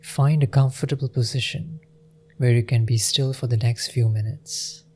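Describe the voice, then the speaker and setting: A man leading a guided meditation in a gentle, unhurried manner with long pauses. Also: mid-range voice, steady tone, slight background noise.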